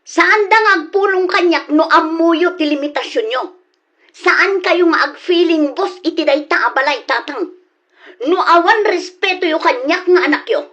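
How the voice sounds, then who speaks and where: A woman playing a high-voiced, reproachful grown daughter with an Ilocano accent. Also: moderate pace, fairly bright tone, muffled recording. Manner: reproachful, confrontational. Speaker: grown daughter confronting her father. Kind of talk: radio drama acting.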